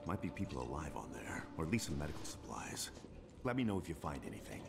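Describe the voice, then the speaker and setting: An actor playing an earnest, protective leader of survivors in a video game, serious and commanding. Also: male voice, very deep voice, big pitch swings, fast talker, gravelly, noisy background, full character voice. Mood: grave.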